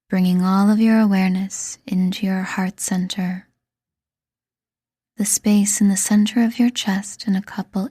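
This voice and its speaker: Slow, breathy woman guiding meditation. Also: mid-range voice, warm tone, no echo, studio quality. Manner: soothing, deliberate, breathy delivery with pauses. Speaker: meditation guide and podcast host. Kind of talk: scripted guided meditation.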